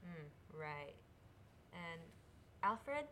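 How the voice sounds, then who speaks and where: A woman's voice: sits low, big pitch swings, drawn-out words, bright, forceful tone, a little hiss or hum, heavily acted. A woman playing a curious, restless young princess and affectionate sister in an audio drama. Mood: sly, urgent.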